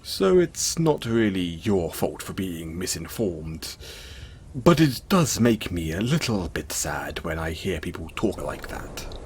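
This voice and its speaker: A man playing a gentle, caring, self-conscious centaur in an intimate audio roleplay, speaking in a soothing, hesitant, apologetic way. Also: low voice, husky, heavily acted. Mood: gentle, wistful.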